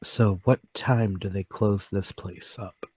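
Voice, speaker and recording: A man's voice: fairly low pitch, fairly even intonation, slow pace, darkish tone, breathy edge, dry recording. A man playing a meek, troubled middle-aged salesman in a radio play table read, hesitant and apologetic. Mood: kindly.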